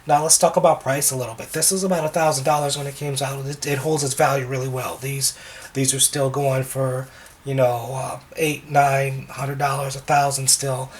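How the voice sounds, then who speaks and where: Slightly hoarse man rambling about DJ gear. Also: medium pitch, moderate pace. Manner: relaxed and rambling, many ums and restarts. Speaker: wedding DJ reviewing gear. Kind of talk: casual gear review.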